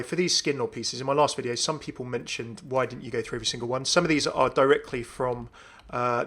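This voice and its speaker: A man hosting a gaming video with casual, enthusiastic commentary in a creaky voice. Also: medium pitch, fairly bright tone. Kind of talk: casual commentary on a game update.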